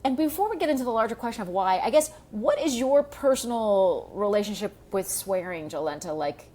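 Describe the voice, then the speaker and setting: A woman comedian hosting a casual comedic panel chat, talking quickly and swearing freely. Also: mid-range voice, expressive, dark tone. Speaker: comedian and podcast host.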